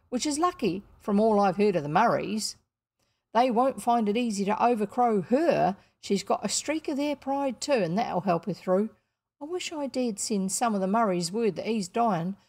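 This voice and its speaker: A woman's voice: a little high, vocal fry, darkish tone. A woman voicing a blunt, scolding working-class housekeeper in a storybook, with unrefined rustic speech and dropped letters.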